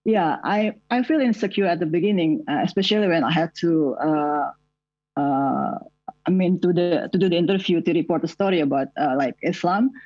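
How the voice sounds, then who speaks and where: A middle-aged Indonesian woman journalist speaking English as a second language, hesitant and often pausing with "uh". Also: lowish voice, darkish tone, dry recording. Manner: hesitant, frequent "uh" pauses. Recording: dull lo-fi call audio.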